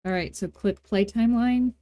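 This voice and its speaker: A woman hosting a casual, unscripted software tutorial, talking in a playful, folksy way with informal grammar and self-deprecating asides. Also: on the high side, moderate pace, no echo, clean studio sound. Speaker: tutorial host.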